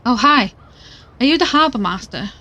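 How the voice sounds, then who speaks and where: An actress playing a worried, determined young podcaster in an audio drama, earnest and conversational. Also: female voice, on the high side, expressive, normal speed, bright, forceful tone. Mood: polite, anxious. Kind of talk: audio drama dialogue and recorded narration.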